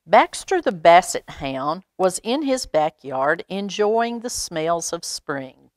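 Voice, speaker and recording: An American woman reading a picture book aloud to young children in an expressive, unhurried way, with pauses and exclaimed asides. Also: lowish voice, dry recording, studio quality. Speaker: storytime reader at a library.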